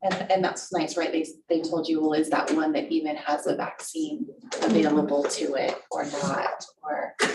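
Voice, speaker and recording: A woman's voice: deep voice, lively intonation, normal speed, creaky. A woman on a school board, rambling and trailing off as she talks through a document. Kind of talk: board meeting discussion. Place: large meeting room. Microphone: desk microphone from afar. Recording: echoey room, distant mic, faint hiss.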